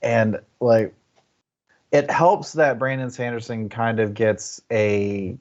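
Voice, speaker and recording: Lowish-voiced man co-hosting a book chat. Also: a little mellow, highs cut off. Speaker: podcast co-host.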